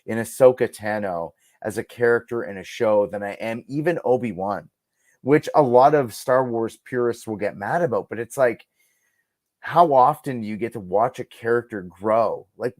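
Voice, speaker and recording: A man's voice: medium pitch, moderate pace, darkish tone, no echo. Earnest, emphatic man, close dry sound. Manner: earnest, emphatic, repeating himself for stress. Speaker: podcast guest. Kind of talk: casual fan discussion.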